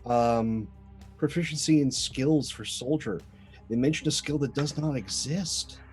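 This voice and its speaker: Male player, mid-pitched, careful and hesitant, checks the rules, faint music behind. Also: a little mellow. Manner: measured, hesitant with ums, rules-minded. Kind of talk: casual chat while building a character.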